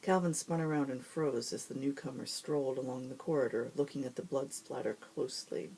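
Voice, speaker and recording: A host reading fiction aloud and introducing it informally, with expressive storyteller delivery. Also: medium pitch, fairly quick, creaky, a little hiss or hum, low-quality recording.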